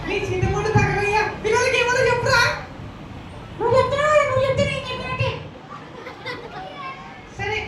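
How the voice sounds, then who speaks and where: Super high squeaky kid puppet, teasing kids, echoey noisy hall w crowd. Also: fast talker, long pauses, far from the mic. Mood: playful teasing. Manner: teasing, repeats questions to the children. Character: playful high-voiced child puppet quizzing children. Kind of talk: live puppet show for children. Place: large church hall puppet show, children around.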